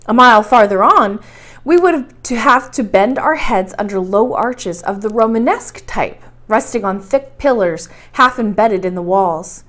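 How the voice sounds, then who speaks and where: Animated woman reading a story aloud. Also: mid-range voice, moderate pace. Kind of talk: expressive scripted audiobook narration.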